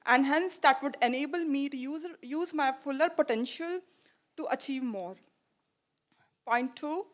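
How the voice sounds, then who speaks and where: A woman delivering a rehearsed career pitch, earnest and recited, with a few slips along the way. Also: unhurried. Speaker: pitch contestant.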